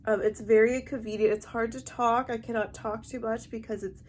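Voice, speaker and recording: A woman with a stuffy, heavily congested nasal voice, talking to camera in a halting, explanatory way in a personal vlog. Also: a little high, steady tone, darkish tone. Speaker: vlogger. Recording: amateur recording with light background noise.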